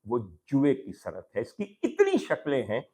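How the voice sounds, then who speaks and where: A man's voice: mid-range voice, wildly swooping pitch, normal speed, mellow. Pakistani man delivering an emphatic opinion monologue. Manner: rhetorical questions, emphatic repetition, formal address to viewers. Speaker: talk show host. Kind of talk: opinion monologue to camera on social issues.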